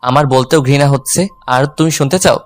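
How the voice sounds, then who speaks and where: A man's voice: medium pitch, normal speed, soft background music, natural voice. A man playing a jealous, teasing young husband in a dramatized romantic audio story. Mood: jealous, hurt. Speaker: narrator and male lead of an audio love story.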